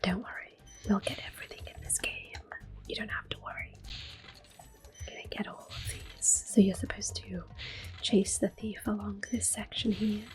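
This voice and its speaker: A woman giving gentle, unhurried ASMR game commentary in a soft, breathy, reassuring voice. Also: medium pitch, flat delivery, faint music behind. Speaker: ASMR gameplay host.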